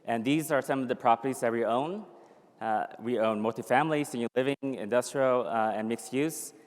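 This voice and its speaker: Man pitching in a reverberant conference hall. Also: fairly quick. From born in a refugee camp in Thailand, raised in the US. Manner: upbeat, pitching, with fillers and restarts. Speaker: conference speaker. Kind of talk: conference presentation.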